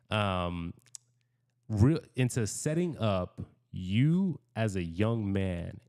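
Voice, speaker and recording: A man's voice: fairly low pitch, darkish tone. Chatty man hosting. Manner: laid-back, chatty, laughs often. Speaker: podcast host. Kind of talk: casual live interview.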